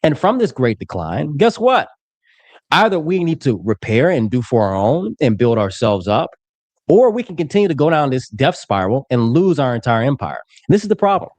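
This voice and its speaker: A man's voice: medium pitch, sing-song, normal speed, a little mellow, dry recording. An American man arguing in a heated debate, assertive and lecturing, throwing insults at his opponent. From United States.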